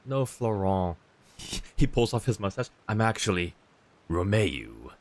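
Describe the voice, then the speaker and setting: Low-voiced man streaming a game. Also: big pitch swings, a little slow. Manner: informal, reactive. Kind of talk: casual live stream commentary. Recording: game music under the voice.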